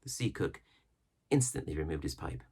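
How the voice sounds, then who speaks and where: A man reading an adventure story aloud as expressive scripted narration. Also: a bass voice, normal speed, breathy edge. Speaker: audiobook narrator.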